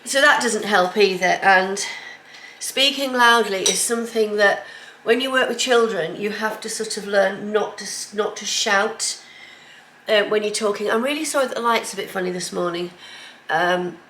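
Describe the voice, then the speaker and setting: A woman's voice: medium pitch, moderate pace, bright, forceful tone. Lady vlogging in her kitchen, bunged up with a cold, rambly, echoey room. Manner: chatty, rambling, lots of ums. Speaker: sewing vlogger. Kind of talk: casual chatty vlog. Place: home kitchen. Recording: echoey kitchen with faint background noise.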